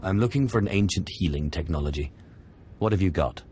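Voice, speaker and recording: A man voicing a cool, formal, commanding Sith with quiet menace in a game cutscene. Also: low-pitched, expressive, brisk, soft background music.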